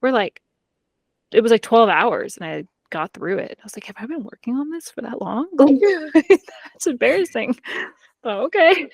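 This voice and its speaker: A woman's voice: a little high, lively intonation, normal speed, vocal fry, no echo, studio quality. A woman co-hosting a podcast in casual, informal chat, her sentences peppered with "like". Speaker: podcast co-host. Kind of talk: casual chat about books and TV.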